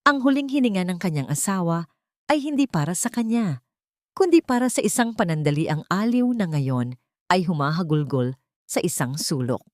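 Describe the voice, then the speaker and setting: Lowish-voiced woman narrating melodrama. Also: animated, normal speed, no echo, clean studio sound. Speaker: story narrator. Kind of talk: scripted dramatic narration of a love story.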